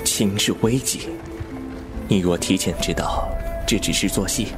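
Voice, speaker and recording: A man's voice: low-pitched, unhurried. An actor playing a clever, teasing young swordsman with wounded pride and a flippant, sardonic manner in a Chinese wuxia audio drama. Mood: wry, hurt. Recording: music under the voice.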